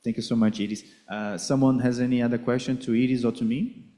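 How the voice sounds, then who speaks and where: A man leads the questions after a symposium talk, with a mellow, relaxed tone at a mid pitch. He is polite and measured, and he builds his questions carefully. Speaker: project coordinator and Q&A moderator. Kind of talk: moderating conference Q&A. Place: conference hall.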